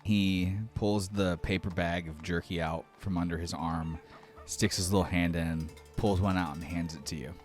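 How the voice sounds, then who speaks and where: A man's voice: low voice, steady tone, moderate pace, faint music behind. A man narrating a tabletop roleplaying game as game master.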